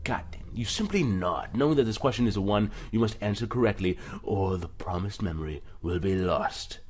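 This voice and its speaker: A man's voice: lowish voice, moderate pace, slightly rough. A man hosting a let's play, casually reading game text aloud with some stumbles and commenting on it. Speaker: gaming video host.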